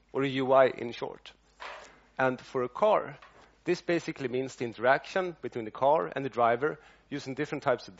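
A man's voice: mid-range voice, lo-fi sound. Swedish-accented man presenting a talk. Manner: explanatory, lightly joking. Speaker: design lead giving a talk. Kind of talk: presentation to an audience.

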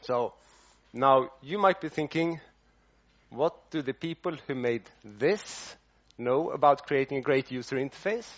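A man's voice: medium pitch, expressive, unhurried, muffled recording. Swedish-accented man presenting a talk. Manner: explanatory, lightly joking. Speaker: design lead giving a talk. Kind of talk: presentation to an audience.

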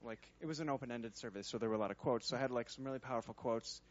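A man's voice: mid-range voice, racing, lo-fi sound. A man, a user researcher, talking in a casual workshop Q&A, rambling and hesitant with many fillers.

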